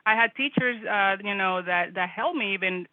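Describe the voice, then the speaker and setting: A woman's voice: medium pitch, moderate pace, dry recording. Chatty woman guest over a tinny call. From Dominican Republic. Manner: chatty, laughing, many restarts. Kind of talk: casual live-stream interview. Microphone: video call. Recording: tinny phone-like call audio.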